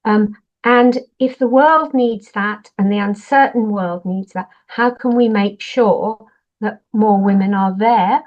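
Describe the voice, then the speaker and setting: An older woman, a professor presenting at a webinar in an explanatory way, stressing points through contrast. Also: medium pitch. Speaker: university professor, webinar speaker. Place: online webinar. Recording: dull, lo-fi webinar audio.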